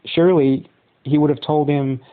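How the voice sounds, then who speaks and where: A man interviewing a guest by phone, asking questions in a measured, conversational way. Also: on the high side, steady tone. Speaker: interviewer, channel host. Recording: tinny phone line.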